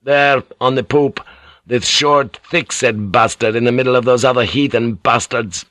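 A man's voice: mid-range voice, fairly even intonation, normal speed, fairly bright tone, no echo, studio quality, lightly acted. A man voicing a cocky, hot-blooded ship's pilot, vehement and blustering, in a seafaring audiobook story. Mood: contemptuous.

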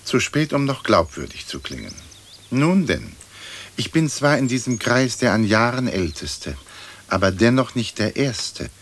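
An actor playing a haughty, verbose old English lord's ghost in a German radio play, with pompous, formal speech. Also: male voice, medium pitch, very animated, unhurried. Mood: haughty, narrating.

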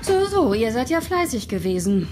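A woman's voice: on the high side, very animated, normal speed, over music. An actress playing a dry, sarcastic woman who runs a television writing team in a radio drama.

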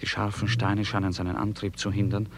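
A man's voice: fairly low pitch, level tone, a little fast, noisy background. A man narrating a literary radio drama as storyteller.

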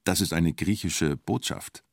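An actor narrating a radio play in the present tense as an observant storyteller, in terse, understated fragments. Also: male voice, sits low, a little slow, no echo, studio quality. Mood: observant, wry. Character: male storyteller following an onlooker at a siege, observant.

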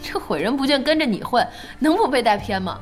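A woman voices a playful, sharp-tongued gamer friend in an audio drama. Her chatty voice is lively and a bit high, with music clearly behind it. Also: brisk, close to an everyday voice. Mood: amused. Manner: teasing, chatty. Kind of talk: Chinese audio drama acting.

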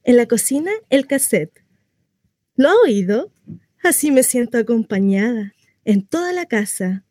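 A woman's voice: fairly high pitch, lively intonation, a little slow, darkish tone, no echo, clean studio sound. A woman playing a lonely, naive housewife in a theatrical radio monologue, gossipy, rambling and coyly confiding in a neighbor. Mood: bright, neighborly. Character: chatty lonely housewife, naive, insistently cheerful about her confinement.

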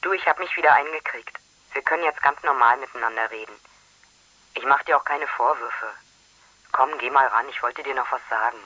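An actor playing a needy, pleading caller in a radio play, coaxing and insistent in an informal way. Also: male voice, medium pitch, a little fast, pushed and bright, breathy edge, dry recording, poor audio. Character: pleading caller leaving a phone message, needy.

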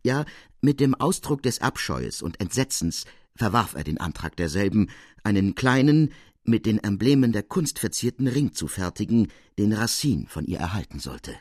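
Guy reading classic fiction, low voice, really animated intonation, formal, close dry sound. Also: normal speed, slightly rough, no room sound. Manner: expressive, formal delivery. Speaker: narrator. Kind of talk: scripted literary narration, radio audiobook.

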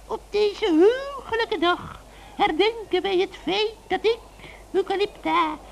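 A woman playing a gleeful, malicious old witch in a children's radio play, with an ugly cackling voice and drawn-out gloating. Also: extremely high pitch, gravelly, a little hiss or hum, heavily acted, low-quality recording. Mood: haughty, triumphant. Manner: giggling, drawn-out, theatrical gloating.

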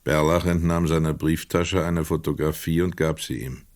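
An actor narrating a crime story in a radio play in a measured, precise manner. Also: male voice, extremely low pitch, fairly even intonation, darkish tone, dry recording. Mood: measured, quiet.

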